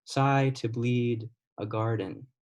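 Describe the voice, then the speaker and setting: A young man in his twenties giving a poetry reading in a measured, deliberate way, his voice falling softly at the ends of lines. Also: medium pitch, steady tone. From United States. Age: 20s. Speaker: poet. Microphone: video call.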